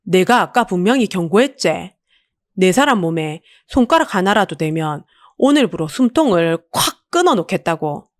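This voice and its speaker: A woman's voice: medium pitch, no echo, studio quality, lightly acted. A woman playing a burly, blunt male tycoon with a Busan Gyeongsang accent in a dramatic audiobook. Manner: commanding, blunt, menacing. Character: burly gruff vengeful tycoon man, tender to his love.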